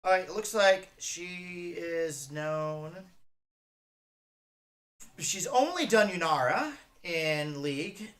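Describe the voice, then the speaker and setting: A streamer with a bright, forward voice at a medium pitch gives casual fan reaction commentary. The delivery rambles, as the speaker thinks aloud and circles back through half-formed thoughts. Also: animated, slow pace, long pauses.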